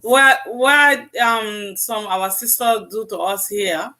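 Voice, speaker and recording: A woman's voice: medium pitch, takes it slowly, sharp tone, slight background noise. A woman from Africa with an African accent, chatting earnestly on her live stream and laughing often. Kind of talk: casual chat on a live stream.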